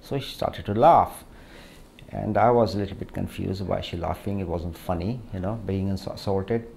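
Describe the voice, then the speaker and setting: A middle-aged man from Pakistan, a surgeon speaking hesitantly and reflectively with many pauses in a documentary interview. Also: deep voice, vocal fry, darkish tone, a little hiss or hum. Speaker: hand surgeon.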